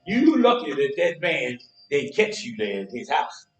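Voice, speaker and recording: A man's voice: medium pitch, very animated, measured pace. An actor playing a blustering, teasing, boastful man in a stage play, with an American accent and a folksy, repetitive, needling way of talking. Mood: teasing, ominous. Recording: echoey stage, distant mic, music.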